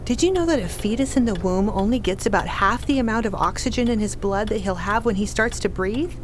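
A woman's voice: mid-range voice, a little fast, slight background noise, natural voice. A woman playing a dry, practical, anxious pregnant wife in a radio play. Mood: dry, uneasy. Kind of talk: radio drama acting.

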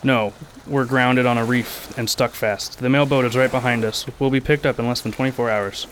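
A man's voice: mid-range voice, steady tone, normal speed, fairly bright tone, a little hiss or hum. A man playing a firm, commanding passenger who takes charge in a radio drama.

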